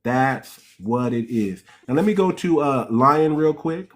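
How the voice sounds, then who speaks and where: A man's voice: mid-range voice, lively intonation, unhurried. A man hosting a live call-in talk room, plain-spoken, moving from plugging merch to preaching. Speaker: host.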